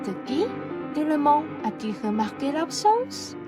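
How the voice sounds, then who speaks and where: A young woman playing an excitable, scheming young man in a French radio play, melodramatic and emphatic. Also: high-pitched, a little slow. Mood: conspiratorial. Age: young adult. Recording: music behind the voice.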